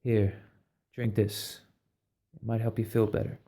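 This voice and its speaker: A man acting a calm, reassuring leader of a covert team in an amateur audio drama. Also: lowish voice, measured pace.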